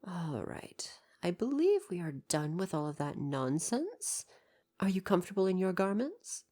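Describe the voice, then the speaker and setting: A woman's voice: fairly low pitch, very animated, a little slow, warm tone. A woman playing a devoted royal butler in an ASMR roleplay, speaking in a formal, deferential and precise way with parental care. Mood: attentive, fond.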